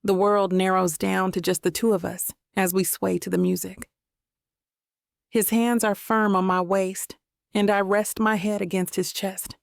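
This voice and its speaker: A woman's voice: mid-range voice, flat delivery, unhurried, gravelly, no room sound, studio quality. A woman reading a first-person romance audiobook as scripted narration.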